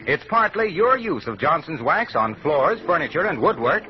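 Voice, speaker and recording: American man, 1940s radio announcer, scripted delivery, animated, higher voice, muffled vintage audio. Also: normal speed, noisy background.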